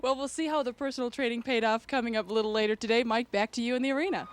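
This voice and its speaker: A woman's voice: on the high side, rapid-fire, a little hiss or hum. A woman co-hosting a sports show, interviewing a contender in a light, chatty way. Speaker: co-host, locker room interviewer. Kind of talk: friendly interview questions. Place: locker room.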